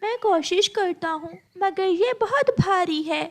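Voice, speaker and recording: Woman as young male cartoon bird, squeakily high, eager, well-mannered. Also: expressive, unhurried, fairly bright tone, exaggerated voice. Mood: eager, polite. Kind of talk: cartoon voice acting.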